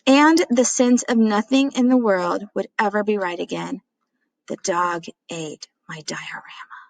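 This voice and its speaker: A woman's voice: mid-range voice, measured pace, vocal fry, no echo, low-quality recording. A woman voicing a chatty, self-mocking dad who tells a childhood story dramatically in a storybook read-aloud. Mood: dramatic dismay.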